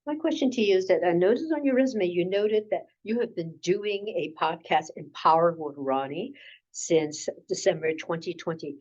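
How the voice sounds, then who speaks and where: A woman's voice: lowish voice, animated, moderate pace, darkish tone. A woman interviewer putting formal questions to a candidate. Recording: dull, lo-fi audio.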